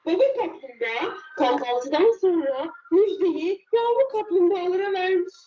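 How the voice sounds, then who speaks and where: A woman reading a children's fairy tale in a deliberate, drawn-out, expressive way. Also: very high voice, crowd noise. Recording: muffled, roomy, far from the mic.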